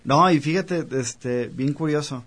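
A man's voice: a little high, measured pace, fairly bright tone. Chatty man, rambling. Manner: chatty, rambling, lots of fillers. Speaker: guest, cellist. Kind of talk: casual interview chat.

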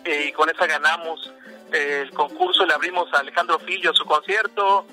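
A man's voice: fairly high pitch, fairly even intonation, normal speed, pushed and bright, soft background music, poor audio. A man from Veracruz, a musician guest in a podcast interview, talking casually with many fillers and restarts. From Veracruz, Mexico.

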